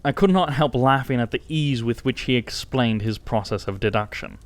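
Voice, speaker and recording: Male audiobook narrator, medium pitch, reading scripted first-person fiction. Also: moderate pace.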